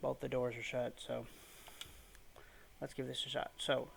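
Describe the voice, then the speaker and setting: A man's voice: little pitch movement, measured pace, vocal fry. Male gamer, casual and subdued, narrow intonation, light background noise. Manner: low-key, keeping his volume down. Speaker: gaming video host. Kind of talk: casual let's play commentary. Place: room at home.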